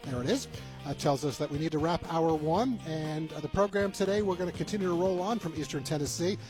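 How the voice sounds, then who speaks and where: A man's voice: a little high, brisk, music in the background. A man hosting a travel radio show in an unscripted, conversational style.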